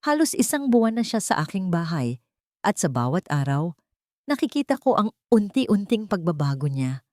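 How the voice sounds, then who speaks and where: A woman's voice: lowish voice, sing-song, normal speed, mellow, dry recording, clean studio sound. A woman narrating a dramatic first-person story as an audiobook.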